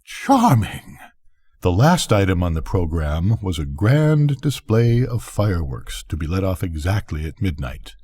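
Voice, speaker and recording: An older man narrating a bedtime story, reading gently and expressively. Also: sits low, very animated, normal speed, hardly any pauses, breathy edge.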